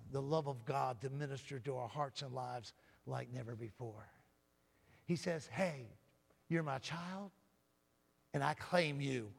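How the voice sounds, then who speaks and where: A man preaching a sermon with a loud voice, often calling out "Amen?" and swinging from booming to soft. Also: creaky. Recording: long hall reverb.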